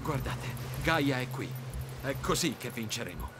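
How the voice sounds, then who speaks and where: A man's voice: medium pitch, expressive, unhurried, heavy background noise, close to an everyday voice. A man playing a bold, determined young hero commander in an Italian video game dub, resolute and commanding.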